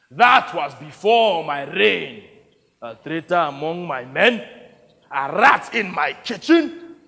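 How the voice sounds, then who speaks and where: A pompous warlord general booms out every line, slow and grandiose, as a man puts him on. His pitch sits high and leaps about. A long echo trails behind each word. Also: vocal fry, sharp tone, full character voice. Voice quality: booming, drawn-out declarations.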